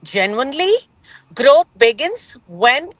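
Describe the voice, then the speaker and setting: Woman panelist, very slow careful reading, high-ish voice, dry sound. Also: sing-song, no room sound.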